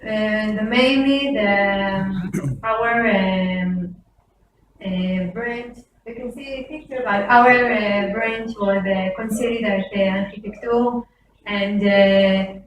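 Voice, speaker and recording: 20s israeli woman, slow halting class intro, far from mic, super echoey. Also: mid-range voice, steady tone, a little hiss or hum. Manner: halting, many fillers. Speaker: MBA student.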